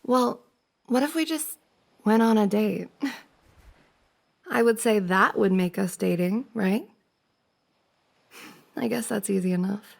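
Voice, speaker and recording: A woman playing a giggly, teasing young woman confessing to her crush in an intimate ASMR roleplay, with a creaky voice. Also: medium pitch, takes it slowly, pauses often. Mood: shy, cosy. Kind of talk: intimate ASMR roleplay, acted as one side of a conversation.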